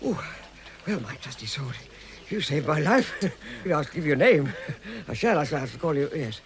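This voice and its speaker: A man's voice: on the high side, big pitch swings, moderate pace. A man playing a timid but plucky hobbit adventurer in a vintage British radio drama, stammering and exclaiming to himself. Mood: relieved, fond. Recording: vintage radio recording with loud background noise.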